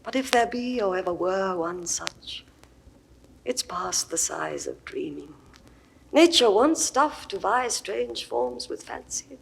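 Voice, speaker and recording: An actress playing a proud, grieving Egyptian queen in a classical verse recording, moving from hushed whispers to ringing outbursts. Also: medium pitch, lively intonation, takes it slowly, creaky, slight background noise, heavily acted, low-quality recording. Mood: grieving, dreamy.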